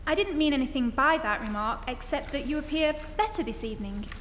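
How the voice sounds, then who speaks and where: A woman acting a concerned, gentle confidante in an old-time radio horror play, earnest and soothing, on stage in a reverberant hall. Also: high voice, animated, brisk. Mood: hurt, reassuring. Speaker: amateur stage actor. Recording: noisy live theatre hall, long reverb.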